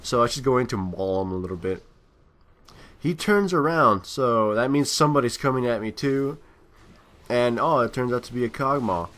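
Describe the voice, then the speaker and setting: A man with a medium-pitched voice commentates on a video game in a casual, unscripted way. He rambles as he thinks aloud, letting some phrases trail off before picking up again. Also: animated, moderate pace, a bit bright. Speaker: gameplay commentator.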